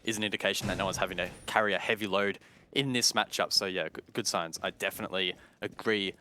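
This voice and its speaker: Man, esports analyst, live color commentary, low voice, tense bright tone. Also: steady tone, fast talker, slight background noise. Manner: analytical, thinks aloud with fillers.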